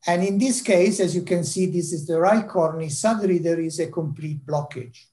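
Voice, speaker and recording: Man, Italian accent, fairly high voice, dry wry humour, video call audio. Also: a little fast, warm tone. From Italy. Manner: dry self-deprecating humour. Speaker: heart surgeon giving a talk. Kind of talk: presentation over video call. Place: home office with bookshelves.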